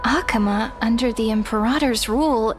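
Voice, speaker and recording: An actress voicing a candid, curious young female traveling companion in a fantasy game, with a frank and expressive manner. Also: fairly high pitch, moderate pace, music in the background, natural voice. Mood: reflective. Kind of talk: scripted fantasy game dialogue.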